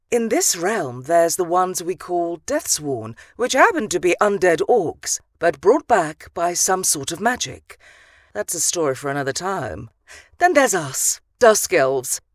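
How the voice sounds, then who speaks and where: A woman's voice: on the low side, very animated, unhurried, dry recording, clean studio sound. A woman playing a seductive dusk elf vampire in an audio drama, doting and protective, with a sultry, cooing manner. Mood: protective, curious. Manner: cooing, sultry, purring endearments. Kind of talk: audio drama character acting.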